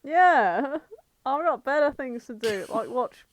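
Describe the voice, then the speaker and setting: A woman's voice: sits high, lively intonation, slow. A woman co-hosting a podcast, chatting casually and comedically in a blunt, irreverent way. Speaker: podcast co-host.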